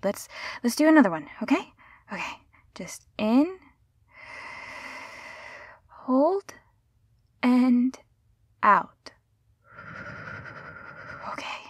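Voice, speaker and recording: A woman's voice: mid-range voice, slightly rough, dry recording, exaggerated voice. A woman playing a caring, flustered young rescuer in an intimate audio roleplay, speaking in a hesitant, stammering, soothing way. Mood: calm, reassuring.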